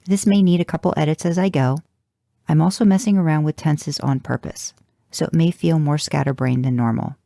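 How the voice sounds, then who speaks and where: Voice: medium pitch, fairly even intonation, a little fast, gravelly, dark tone, no echo, clean studio sound. A narrator reading first-person fan fiction in an audiobook style, with a wry, intimate delivery. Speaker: fan fiction podcast reader.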